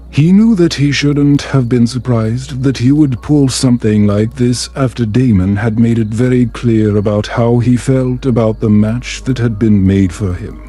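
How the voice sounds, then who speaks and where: Male storyteller for sleep tales: mellow, unhurried, gentle and measured, music underneath. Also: mid-range voice. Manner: soft, soothing, measured. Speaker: storyteller, narrator. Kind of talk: calm scripted bedtime story narration.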